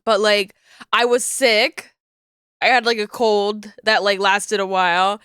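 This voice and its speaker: A woman's voice: mid-range voice, flat delivery, measured pace, a bit bright, clean tone, no room sound, studio quality. A woman streamer with a cold, sounding congested, chatting casually and rambling before playing a game. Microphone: desk microphone.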